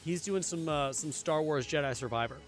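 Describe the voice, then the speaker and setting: A young man streaming a game, chatting casually with his viewers, his voice a little hoarse. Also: on the high side, fairly quick, soft background music. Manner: chatty, answers chat, repeats phrases. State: slightly hoarse. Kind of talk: casual live-stream chat while gaming.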